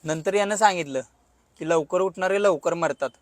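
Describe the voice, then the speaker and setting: A man's voice: sits high, normal speed, very noisy, lightly acted. A man voicing a cheeky, lazy auto-rickshaw driver who wisecracks colloquially in a comedy cartoon. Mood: wry, boastful. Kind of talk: comic cartoon dialogue.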